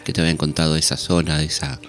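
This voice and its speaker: Male interviewer, very low pitch, brisk delivery, attentive and conversational, light music underneath. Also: flat delivery. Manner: attentive, interjecting brief remarks.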